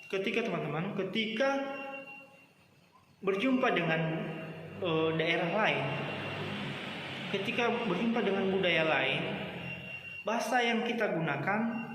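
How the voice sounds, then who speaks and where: High-pitched young man in an echoey hall. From Nias, Indonesia. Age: young adult. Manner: earnest, halting, repeats phrases, often addresses listeners directly. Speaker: student giving a speech. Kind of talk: persuasive student speech. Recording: very echoey room, clear background noise.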